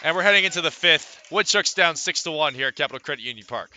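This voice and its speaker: A male sports announcer gives live radio play-by-play of a baseball game. His voice sits a little high and has a very sharp, forceful edge. He paces out his calls in measured phrases, leaving pauses between plays. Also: expressive, a little hiss or hum. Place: live at a ballpark.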